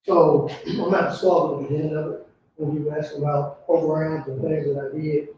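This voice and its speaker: A man's voice: fairly high pitch, level tone, normal speed, warm tone. A man recounting his own experience as a guest on a live panel. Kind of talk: personal account at live event. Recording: dull echoey room, distant mic, noise.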